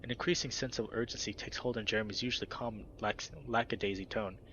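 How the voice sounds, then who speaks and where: A man's voice: fairly low pitch, fairly even intonation, quick pace, sharp tone, lo-fi sound, a little hiss or hum. A man casually reading a visual novel aloud, with offhand asides to his viewers. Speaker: let's play narrator.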